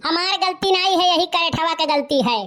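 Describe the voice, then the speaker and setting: A woman plays an exasperated village mother in a comedy cartoon, pitched very high. She has an Awadhi accent and a sharp tongue, and she scolds with heavy sarcasm. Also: normal speed. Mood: indignant. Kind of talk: comic cartoon dialogue.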